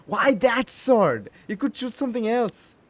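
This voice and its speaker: Casting: male gaming streamer, high voice, very animated, exclamatory and repetitive, dry close mic. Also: takes it slowly, no echo. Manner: exclamatory, repetitive, talks to the game characters. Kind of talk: casual gameplay reaction commentary.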